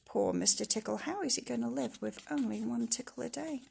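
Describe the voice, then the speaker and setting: A woman's voice: a little high, fairly quick, vocal fry, mellow, breathy edge. A woman reading a children's story aloud expressively and emphatically, with asides to her listeners. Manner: emphatic, engaging listeners with questions.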